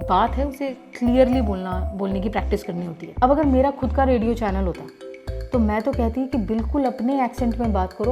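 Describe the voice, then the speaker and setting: A middle-aged woman from Delhi whose first language is Gujarati, a radio jockey explaining voice training to listeners in a conversational way. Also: mid-range voice. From Delhi, India. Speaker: radio jockey teaching voice work. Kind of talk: voice-training lesson.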